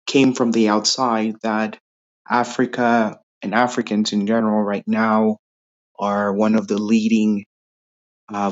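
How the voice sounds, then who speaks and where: Hesitant man in a lo-fi voice message. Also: lowish voice. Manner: hesitant, full of fillers. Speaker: listener sending a question. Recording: dull lo-fi voice message.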